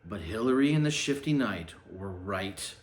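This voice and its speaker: A man reading a storybook aloud with a theatrical, deliberate delivery. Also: on the low side, animated. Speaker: storybook reader. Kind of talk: animated read-aloud storytelling. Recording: echoey room, faint background noise.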